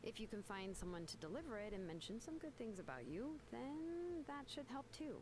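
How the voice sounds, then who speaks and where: Earnest young game heroine, noisy backdrop. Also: female voice, on the high side, very animated, normal speed. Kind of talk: scripted video game voice acting.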